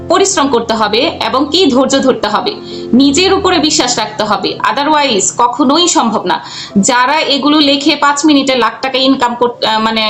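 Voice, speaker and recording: A woman's voice: sits high, fairly bright tone. A young Bangladeshi woman giving conversational answers as an interview guest. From Jamalpur, Bangladesh. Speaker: interview guest, freelance cartoonist.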